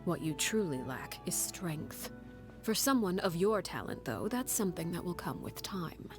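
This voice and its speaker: An actress voicing a cold, commanding woman who heads a household of orphans, speaking in a calm, measured, stern way in a video game. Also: fairly low pitch. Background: game score music.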